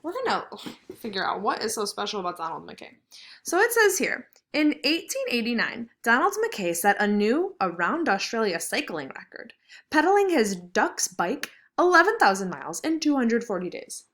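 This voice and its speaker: A woman teaches kindergarteners in a fairly high voice that rises and falls in a very animated way. She reads aloud and explains in simple words, often posing questions to the children as she goes. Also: moderate pace. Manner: expressive, simple wording with questions to pupils. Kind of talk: read-aloud lesson for young children.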